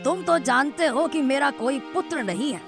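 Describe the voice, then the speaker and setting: A woman's voice: high-pitched, animated, normal speed. A woman playing an ageing, genial village headman in a cartoon moral story, speaking in a reassuring way. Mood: earnest. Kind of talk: animated moral story dialogue. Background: background music.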